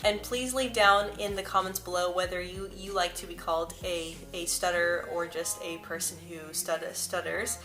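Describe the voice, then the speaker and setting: A woman's voice: on the low side, steady tone, moderate pace, few pauses. A young woman vlogging to camera in a casual, earnest way, stuttering often with repeated sounds and words. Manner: earnest and personal. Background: soft background music.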